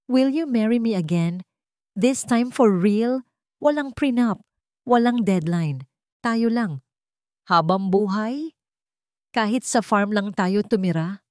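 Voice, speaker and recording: A woman's voice: mid-range voice, lively intonation, a little mellow, no echo, clean studio sound, voice pushed up. Woman voicing an earnest, lovestruck young man proposing. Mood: hopeful, pleading. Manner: tender, solemn, pausing between short phrases.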